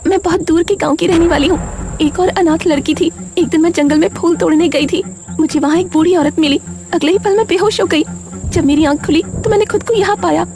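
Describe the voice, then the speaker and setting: A woman's voice: very high-pitched, a little fast, pitched above the performer's own voice. A woman voicing a frightened, pleading young village girl, speaking anxiously and earnestly in a cartoon story. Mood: sorrowful.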